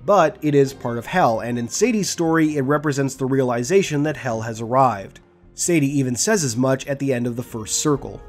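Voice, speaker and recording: A man's voice: medium pitch, a little fast. Man narrating a scripted guide over music. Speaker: video creator, host.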